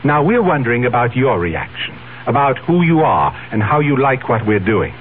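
A man's voice: mid-range voice, sing-song, moderate pace. A man speaking as a radio producer, reading a scripted promotional announcement to listeners. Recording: muffled 1970s radio broadcast, faint hum.

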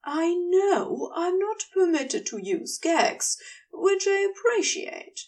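A woman's voice: very high voice, sing-song, slow, a little mellow, distant mic, pitched above the performer's own voice, full character voice. A woman reading a composed, commanding man in an audiobook romance, formal and chiding in manner. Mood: calm, chiding. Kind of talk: audiobook character voice.

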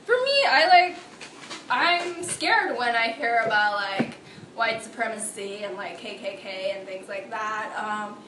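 A woman's voice: on the high side, animated, unhurried, roomy sound, noisy background. A young woman from San Francisco sharing her views on a podcast with her roommates, hesitant and full of "like" and "um". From San Francisco, USA. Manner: hesitant, lots of "like" and "um". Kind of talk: casual chat. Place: shared apartment.

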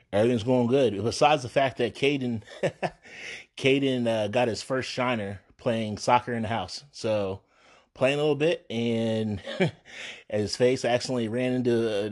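A man in his thirties hosting his own podcast in a relaxed, rambling way, his voice hoarse from a cold, with frequent ums and the odd cough. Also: fairly low pitch. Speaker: podcast host. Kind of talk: casual solo podcast chat.

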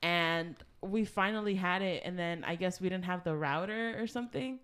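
A woman's voice: on the low side, moderate pace, fairly bright tone, clear, resonant tone. A young woman co-hosting a podcast, telling a personal story in casual chat with lots of filler words. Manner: chatty storyteller, frequent "like" fillers. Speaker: podcast co-host.

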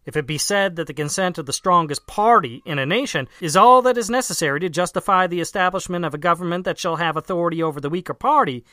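A narrator reading a formal political essay aloud in a measured, emphatic way. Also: medium pitch, bright, forceful tone, dry recording, clean studio sound. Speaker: audiobook reader.

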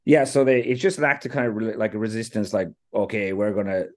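A man's voice: on the low side, fairly even intonation, fast. A man with an Irish accent talking casually as a podcast guest, rambling with many restarts and laughing often. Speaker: podcast guest, fan banner maker.

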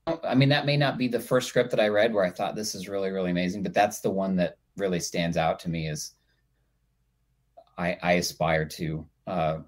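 A man's voice: sits low, moderate pace. A man speaking as a TV writer and guest in a casual panel chat.

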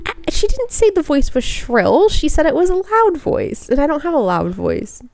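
Female podcast guest, higher shrill voice, very lively, laughs often, self-deprecating. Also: moderate pace, gravelly, dry recording, clean studio sound. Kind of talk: casual podcast chat.

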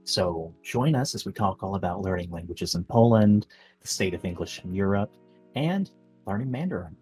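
A man's voice: deep voice, lively intonation, moderate pace, a little mellow, breathy edge, soft background music. A thirty-year-old man hosting a podcast, interviewing in a friendly, joking, upbeat way. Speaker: podcast host.

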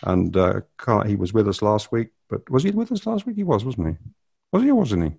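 A man's voice: fairly low pitch, very animated, a little fast, vocal fry, a little mellow, husky. An older man hosting a casual family podcast, rambling and hesitant with many fillers. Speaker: podcast host.

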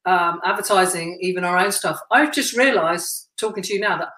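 A woman host with a deep voice. She chats in a casual, self-deprecating way, and her voice carries a noticeable roomy echo. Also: brisk. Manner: warm, chatty, self-deprecating, laughs easily. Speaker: podcast host. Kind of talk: casual interview chat.

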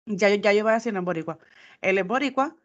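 A woman, co-hosting a podcast and arguing her point in an animated way with many restarts. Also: mid-range voice, fast. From United States, Puerto Rican family. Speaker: podcast co-host. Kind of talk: casual podcast chat. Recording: dull lo-fi sound.

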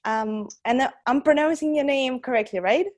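A woman's voice: sits high, moderate pace, a little mellow. Chatty woman hosting an interview. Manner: chatty, laughs often. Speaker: podcast host. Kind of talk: casual interview.

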